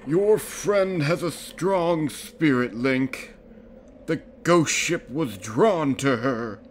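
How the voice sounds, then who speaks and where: A man voicing a solemn old ocean spirit king in a cartoon parody, deliberate and dryly wise. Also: on the high side, animated, a little hiss or hum.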